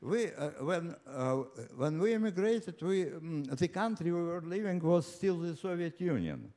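A man of ninety-nine from Ukraine with a Russian accent, telling his own story live in a halting, deliberate way with repeated words and pauses. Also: on the high side, sing-song, dark tone. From Ukraine, later Moscow. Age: 99, nearly one hundred. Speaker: personal storyteller. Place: live stage before an audience.

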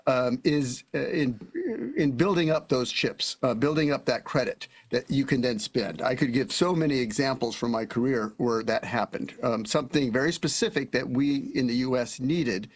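A man with a gravelly, creaky voice of medium pitch answers on a panel as a former official. He speaks haltingly, hesitating often as he searches for words.

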